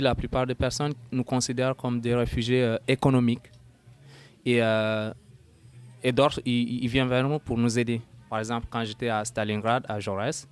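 Smooth-voiced young man from Sudan. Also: medium pitch. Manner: halting, searching for words, grows forceful when indignant. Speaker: poet, interview guest. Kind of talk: earnest personal testimony. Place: at a housewarming gathering.

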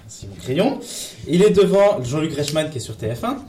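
A man's voice: a little high, sing-song, moderate pace, warm tone. A man presenting a column in a casual, hesitant, informal way, chatting with co-hosts. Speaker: radio show columnist. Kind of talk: casual chronicle presentation with banter. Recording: roomy echo, faint background noise.

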